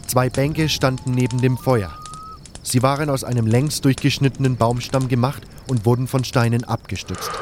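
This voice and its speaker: A man's voice: medium pitch, darkish tone, a little hiss or hum. A man reading scripted first-person narration for an audio drama.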